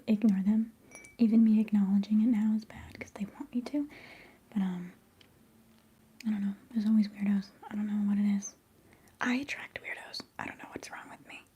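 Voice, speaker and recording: A young woman whispering softly and chattily during a live ASMR stream, giggling now and then. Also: on the high side, husky. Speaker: livestream host.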